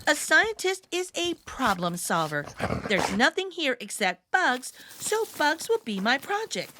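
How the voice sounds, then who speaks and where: A woman reading a picture book aloud to young children with expressive, deliberate delivery and dramatic emphasis. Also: high-pitched, noisy background. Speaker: children's read-aloud storyteller.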